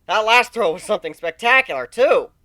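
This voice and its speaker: A showy male pony working a fairground stall, acted out by a man in a cartoon fan story. His voice is pushed up high and swoops with a wry, salesman's patter. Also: lively intonation, brisk, sharp tone, no room sound, studio quality. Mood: hearty, showy.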